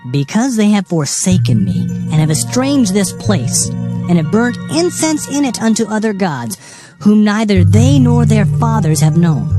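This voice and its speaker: A man playing a stern, anguished Hebrew prophet pronouncing doom in a solemn, declamatory dramatized scripture reading. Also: high-pitched. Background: background music.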